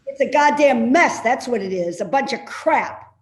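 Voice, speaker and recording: A woman's voice: fairly high pitch, animated, slight background noise, natural voice. A woman in a play reading, playing a suspicious, complaining elderly mother who nags indignantly. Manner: nagging, indignant, slow and insistent. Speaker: actor in a readers theater play. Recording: roomy, echoey remote reading.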